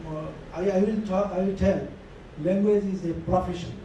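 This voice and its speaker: A man's voice: sits high, fairly even intonation, normal speed, warm tone. A man speaking as a panelist at a conference, hesitant and full of fillers. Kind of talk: conference remarks. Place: large conference hall. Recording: distant echoey hall recording with noise.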